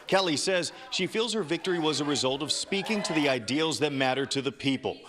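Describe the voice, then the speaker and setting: A young male news reporter with a fairly high voice, reading his stories in a crisp, measured broadcast cadence. He sounds like local television news, steady and clear from line to line. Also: crowd noise. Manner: crisp, measured newscast delivery.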